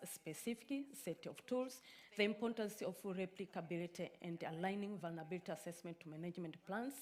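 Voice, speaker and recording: A woman from Tanzania whose first language is Swahili, giving a conference talk in a halting way with many filler sounds. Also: mid-range voice, darkish tone. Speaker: conference speaker. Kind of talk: conference presentation.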